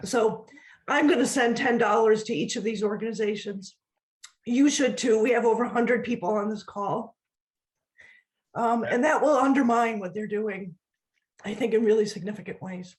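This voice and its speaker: A woman co-hosting a webinar wrap-up on a video call, losing her voice and clearing her throat. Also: a little high, normal speed, poor audio. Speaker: co-host.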